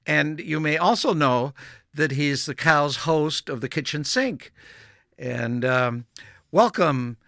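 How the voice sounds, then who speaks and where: A middle-aged man hosts an interview in a bright, forward voice. He spoke German as a first language and grew up in Canada, and he stops and restarts often as he thinks aloud. The sound is dry and close, with no room around it. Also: on the high side, creaky, dry recording. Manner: halting, with frequent restarts and fillers. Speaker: host. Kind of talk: conversational interview.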